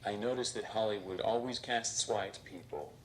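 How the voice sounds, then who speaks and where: A man voicing a deadpan robot comic. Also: on the low side, normal speed, a little hiss or hum, lightly acted, poor audio. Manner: deadpan, flat punchlines. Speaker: comedian. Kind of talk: stand-up comedy set. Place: stage before a live audience.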